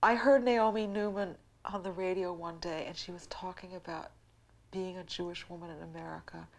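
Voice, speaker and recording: Soft-spoken reflective woman in her forties. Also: fairly low pitch, steady tone, normal speed, creaky. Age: 40s. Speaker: interviewee. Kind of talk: reflective personal interview.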